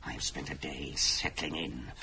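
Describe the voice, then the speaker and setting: A man playing a gloating, menacing possessing spirit in a radio horror drama, speaking in a slow, sinister, theatrical manner. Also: a bass voice, pushed and bright, a little hiss or hum, exaggerated voice. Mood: gloating menace.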